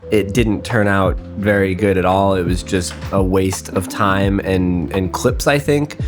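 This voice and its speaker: A man's voice: deep voice, measured pace. A man with a cold and a nasal voice, rambling through a casual, self-deprecating vlog voiceover. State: congested from a cold. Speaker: photography vlogger. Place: voiceover recorded indoors. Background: guitar music.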